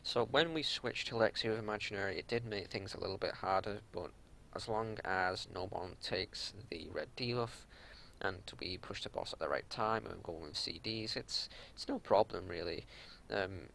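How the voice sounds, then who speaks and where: A man with a low-pitched voice and a heavy creak talks through game footage as a raid leader. He explains tactics off the cuff in a plain, matter-of-fact way. There is faint noise and rumble in the home recording. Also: normal speed, fairly bright tone. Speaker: raid leader giving a strategy walkthrough. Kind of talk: unscripted gameplay commentary.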